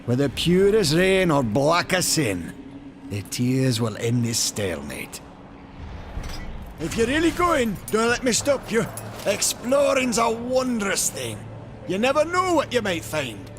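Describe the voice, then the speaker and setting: A man's voice: high-pitched, wildly swooping pitch, measured pace, background noise, heavily acted. A man playing a chatty, wily and cowardly Maker trader in a fantasy game, with a folksy, sly way of talking.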